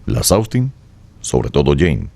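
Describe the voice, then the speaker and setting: A man delivers solemn, literary narration. His voice is extremely deep and dark, with very lively intonation, over soft music. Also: slow pace, creaky. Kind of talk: scripted dramatic narration.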